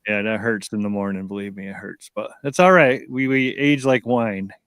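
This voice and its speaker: A man's voice: fairly low pitch, moderate pace, fairly bright tone, smooth, no room sound. A man in his fifties hosting a casual talk show, rambling and often repeating words as he starts his sentences. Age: 50s. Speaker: show host. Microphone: online video call.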